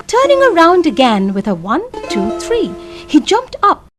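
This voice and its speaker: A woman narrating a children's storybook in a deliberate, expressive, scripted way. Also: very high-pitched, over music.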